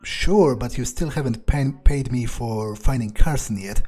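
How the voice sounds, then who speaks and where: Mellow, musing male gamer in character. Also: mid-range voice, measured pace. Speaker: gamer role-playing in character. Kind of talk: in-character game playthrough, thinking aloud.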